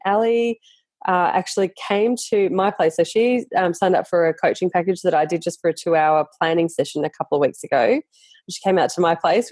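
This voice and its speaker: A woman's voice: on the low side, mellow, no echo. A woman hosting a podcast, chatting enthusiastically with lots of ums and restarts. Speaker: podcast host. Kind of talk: casual interview chat.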